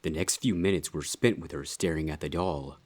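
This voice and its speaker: Narrator for a dark fan fiction tale: man, very low voice, husky edge, scripted dramatic reading. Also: moderate pace.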